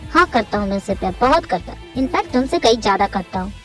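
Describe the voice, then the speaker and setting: Woman as a soft-spoken young man, lowered voice, earnest. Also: lively intonation, quick pace, soft background music, close to an everyday voice. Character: calm young man, devoted friend.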